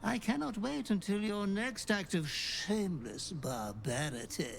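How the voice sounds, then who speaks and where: A man's voice: sits high, expressive, unhurried, gravelly, slight background noise, exaggerated voice. A man playing a sinister, devoted little fiend butler in a video game, obsequious, florid and theatrical. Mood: oily reverence. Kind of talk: video game character acting. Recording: game audio with music underneath.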